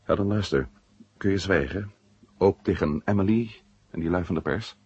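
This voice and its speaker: A man's voice: low voice, moderate pace, a little mellow, slightly rough, dry recording, highs cut off. An actor playing a wry, confiding male journalist in a 1970 science-fiction radio drama. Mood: urgent, confiding. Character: adult male journalist, blunt, wry, worried about his brother.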